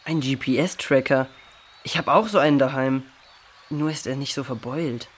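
A casual young male explorer in a children's audio play. He has a medium-pitched voice and a laid-back, slightly grumbly way of talking. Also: unhurried, husky. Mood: casual, curious. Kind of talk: children's audio drama acting.

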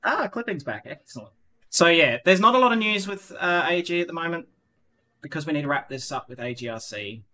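A man's voice: fairly high pitch, lively intonation, fairly quick, pushed and bright, a little hiss or hum. A man hosting a casual online community podcast, rambling with lots of fillers and restarts. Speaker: podcast host.

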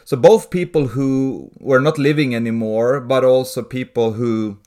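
A man, a missionary guest telling his story in an earnest, steady way. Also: mid-range voice, unhurried. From Sweden. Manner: earnest, steady, with small restarts. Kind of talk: interview storytelling.

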